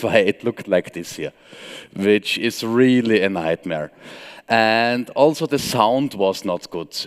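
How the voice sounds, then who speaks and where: A man in his forties from Austria with an Austrian German accent, giving a conference tech talk from a stage with a relaxed, wry, self-deprecating humor. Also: lowish voice, clear, resonant tone. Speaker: conference speaker. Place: large conference hall stage. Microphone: headset mic. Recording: long hall reverb.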